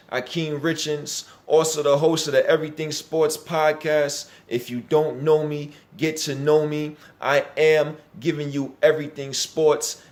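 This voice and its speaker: A young man hosts a sports channel, his voice a little higher than most. He addresses the audience in a promotional, announcer-like tone and pitches the channel to viewers. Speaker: channel and podcast host. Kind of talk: upbeat show introduction.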